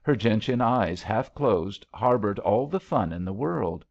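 A man narrates an old-fashioned love story as an audiobook. His voice is on the low side with plenty of creak, and his pitch moves in a lively way. The recording is slightly dull and lo-fi. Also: unhurried. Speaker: audiobook reader.

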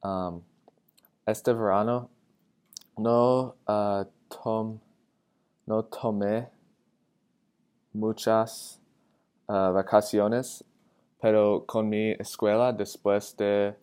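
An eighteen-year-old American man, a native English speaker, giving a halting self-introduction in learner's Spanish and searching for words. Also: fairly low pitch, level tone, darkish tone, clean tone. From Atlanta, United States. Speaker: college student. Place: indoor room with tall windows. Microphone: webcam framing with wired earphones.

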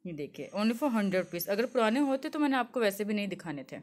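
A young woman from Delhi talks through a street-market tour while holding her phone, pointing out clothes and prices. She speaks in a chatty, easygoing way, mixes English words into her talk and fills pauses with many fillers. Her voice sits in a middle pitch. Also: very animated. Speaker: shopping vlogger. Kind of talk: walking market tour, showing goods to camera. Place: crowded outdoor street market. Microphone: handheld phone, speaker off-camera.

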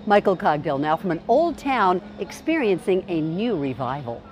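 A woman's voice: fairly low pitch, very animated, normal speed, highs cut off. A woman presenting a news programme, reading a scripted introduction to a feature.

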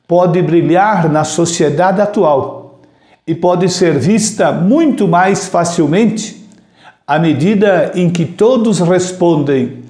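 A Brazilian priest in his sixties gives a devotional reflection at an unhurried, deliberate pace. His voice sits a little high for a man yet sounds soft and mellow. He speaks into a lapel mic, and the small room around him gives the sound a noticeable echo. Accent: Brazilian Portuguese. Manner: slow, measured preaching with open hand gestures. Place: small indoor room with shelves and a framed religious picture.

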